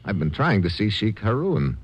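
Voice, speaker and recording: An actor playing a tough, wry American adventurer in a 1950s radio drama, narrating and talking in terse, deadpan lines. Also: male voice, fairly low pitch, normal speed, breathy edge. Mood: dry, brusque. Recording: old radio recording with music.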